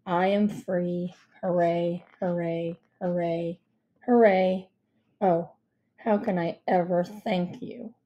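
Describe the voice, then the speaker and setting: A woman voicing a small grey cat from a children's storybook, bored and sarcastic, speaking in a flat, listless, deadpan way. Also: fairly low pitch, slow pace, lo-fi sound, pitched below the performer's own voice.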